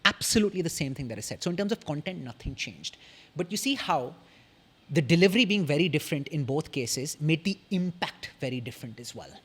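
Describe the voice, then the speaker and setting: This young man speaks with an Indian English accent, his voice a little husky and set somewhat high for a man. He explains things articulately and measuredly, leaving pauses between sentences as a podcast guest. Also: expressive, a bit bright. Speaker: podcast guest, communication strategist. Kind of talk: casual explanatory interview talk.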